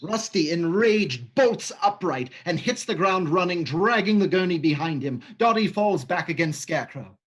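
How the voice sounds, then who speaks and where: A man's voice: high-pitched, animated, normal speed, hardly any pauses, fairly bright tone. A man plainly reading stage directions in a dramatized script reading.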